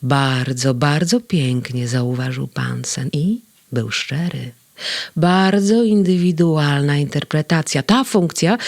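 A woman doing a soft, wise male guardian. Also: sits low, creaky, dry recording, clean studio sound, heavily acted. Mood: admiring. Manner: soft-spoken, reassuring. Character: gentle kindly male guardian, calm and wise. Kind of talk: storybook reading.

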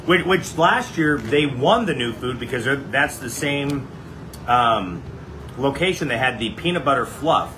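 A man's voice: mid-range voice, animated, measured pace, background noise. A bearded man chiming in casually. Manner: agreeable, chiming in with short affirmations. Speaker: co-host. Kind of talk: casual chat. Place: small wooden shed, indoors.

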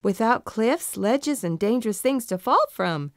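Woman as an eager, animated young goat. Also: a little high, unhurried, no echo, clean studio sound. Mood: excited. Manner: earnest, exclaiming. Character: eager young male mountain goat, excitable and earnest.

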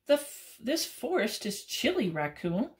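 A sensible storybook goose with a raised, bright voice, getting ready to fly south for the winter, as a woman reads it out. The lines are slow and deliberate, with lively rises and falls. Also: fairly high pitch, voice pushed up. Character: chilly, sensible goose about to migrate.

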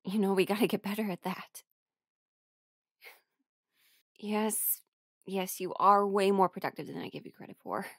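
A woman playing a friendly, teasing young musician in an audio roleplay, speaking in a casual, halting, intimate way. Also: medium pitch, no echo, studio quality, lightly acted. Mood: awkward, reassuring. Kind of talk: intimate conversational roleplay acting.

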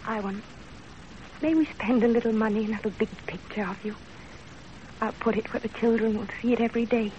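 Woman acting a gentle, stoic wife on muffled radio. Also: on the high side, steady tone, a little fast, pauses often, natural voice. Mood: tender, resolute. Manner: earnest, careful, formal phrasing. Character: gentle, dutiful, stoic young Japanese wife. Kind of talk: 1930s radio drama acting. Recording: dull, noisy 1930s radio recording.

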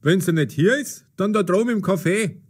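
An actor playing boisterous Bavarian village men with a husky voice and Bavarian accent, in an audio story. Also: male voice, sits high, moderate pace. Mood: easygoing. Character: boisterous Bavarian men: angler, card player, innkeeper. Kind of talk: German learner audio drama acting.

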